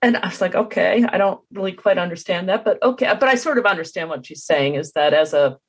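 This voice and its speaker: A woman's voice: fairly low pitch, expressive, quick pace, breathy edge. A middle-aged woman hosting a podcast, chatting casually as she interviews a guest. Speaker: podcast host.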